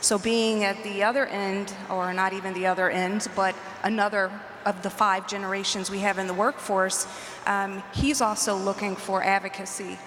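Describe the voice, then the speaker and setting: A woman executive talking in a measured, earnest way on a conference panel, into a handheld mic. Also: medium pitch, steady tone, a bit bright, a little hiss or hum. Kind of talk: panel discussion. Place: large conference hall stage. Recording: roomy hall reverb, faint ambience.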